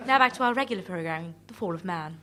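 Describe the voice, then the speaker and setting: A woman's voice: fairly low pitch, animated, quick pace, bright, forceful tone. A woman playing a genteel radio continuity announcer in a comic mock broadcast, recorded live. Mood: mock-serious. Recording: live, audience noise.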